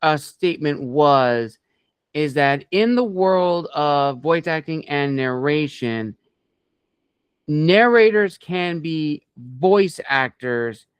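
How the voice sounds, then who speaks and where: Male interview host, smooth clear voice, slightly high, measured phrasing, conversational, dry sound. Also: dry recording.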